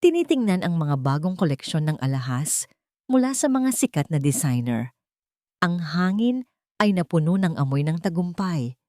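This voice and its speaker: Woman story narrator, kinda low voice, dramatic scripted read, super dry sound. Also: sing-song, normal speed, dark tone, no room sound.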